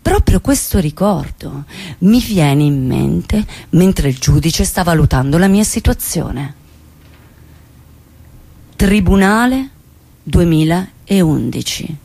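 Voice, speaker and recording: An actress playing an embittered, outspoken woman in an intense, emphatic stage monologue with deliberate pauses. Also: fairly low pitch, lively intonation, gravelly, mellow, full character voice. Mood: scornful. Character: embittered outspoken woman recounting her lost friend and trial. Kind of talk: dramatic theatrical monologue.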